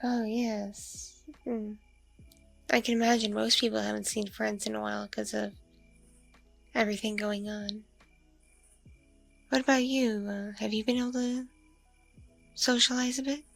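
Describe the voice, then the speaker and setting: A woman acting as a lonely vampire mother with a heavily creaky, breathy voice. She muses hesitantly and intimately, as in an ASMR roleplay, taking her time over each phrase. Faint music sits behind her. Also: a little high, fairly even intonation, full character voice. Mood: musing, coy. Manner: slow, hesitant musing with soft hums. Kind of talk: ASMR audio roleplay, intimate one-sided conversation.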